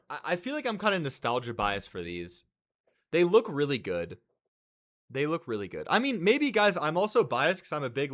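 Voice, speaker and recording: Young American man streamer, twenties, bright, animated delivery, slangy and excitable. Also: medium pitch. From California, US. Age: 20s. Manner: excitable, slangy, swears, says "bro"; reads and answers chat messages. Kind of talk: casual live-stream chat.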